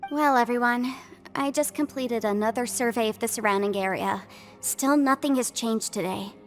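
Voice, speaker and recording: Woman acting as young female gang deputy, highish voice, deadpan, sensible, game dialogue, long reverberant tail. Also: normal speed, soft background music, lightly acted. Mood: practical, composed. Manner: matter-of-fact, dry. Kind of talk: video game character acting.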